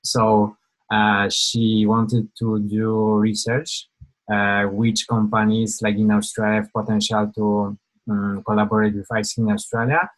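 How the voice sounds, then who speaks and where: A man's voice: fairly low pitch, monotone, unhurried, rough recording. A young man from Poland, giving an informal webinar presentation over a video call, hesitant with many fillers and restarts.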